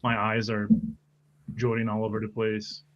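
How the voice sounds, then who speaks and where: A man playing a tabletop game, hesitantly describing his character's actions in the first person, with pauses. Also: lowish voice, monotone, dull audio. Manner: hesitant, pausing. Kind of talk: first-person roleplay narration.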